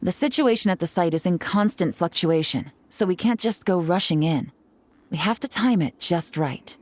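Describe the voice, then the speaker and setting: An actress playing a cool, shrewd female strategist in a video game, with a measured, knowing manner. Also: lowish voice, animated. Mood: cautious, focused.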